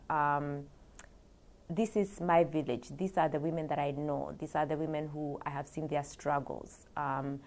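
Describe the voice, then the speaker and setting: Young woman, low gravelly voice, hesitant and soft. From Kenya. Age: young adult. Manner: soft-spoken, hesitant, many ums. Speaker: graduate student. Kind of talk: interview answers.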